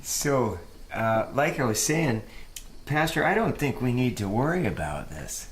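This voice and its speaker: An actor playing a self-satisfied, eager-to-please boss with a Midwestern American accent and a glib, folksy manner in an audio drama. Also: male voice, mid-range voice, sing-song, unhurried, slight background noise.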